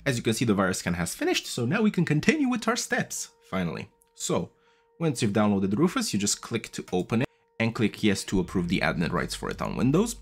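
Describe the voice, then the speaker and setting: A man in his thirties casually walking viewers through a device setup step by step, chatty and patient, recorded at a desk with a boom mic. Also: wildly swooping pitch, a little fast, husky, soft background music. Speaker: tech video host. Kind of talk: casual tutorial walkthrough. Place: small room at a desk. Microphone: studio mic on a boom arm, wearing headphones.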